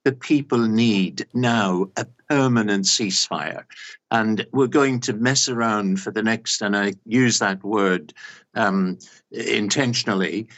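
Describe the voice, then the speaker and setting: Older male analyst, lowish pitch, deliberate and emphatic, dull lo-fi remote recording. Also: a bit bright. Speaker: expert guest, director of an organisation. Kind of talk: news interview analysis.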